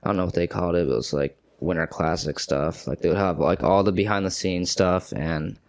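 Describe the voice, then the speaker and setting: A young man co-hosting a podcast as a hockey player, chatting in a relaxed, agreeable way and saying "like" often. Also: low-pitched, fairly quick, dry recording, studio quality. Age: young adult. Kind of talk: casual podcast chat.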